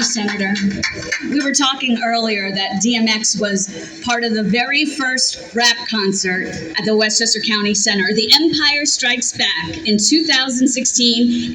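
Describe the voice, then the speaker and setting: A woman's voice: fairly high pitch, moderate pace, pushed and bright, slight background noise, rough recording. A woman emceeing an outdoor ceremony and formally introducing speakers. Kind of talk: announcing speakers.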